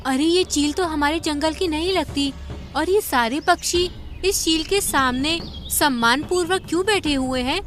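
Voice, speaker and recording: A woman's voice: sits high, unhurried, fairly bright tone, soft background music. A woman voicing a kind, inquisitive young female sparrow in a cartoon, earnest and questioning. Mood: earnest concern.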